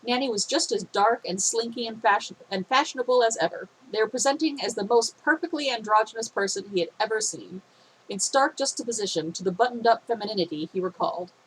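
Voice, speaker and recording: A woman reads a story aloud with a steady, expressive delivery. Her voice sounds a little phlegmy. Faint hiss sits under the recording. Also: on the high side, quick pace, rough recording. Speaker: fan fiction reader.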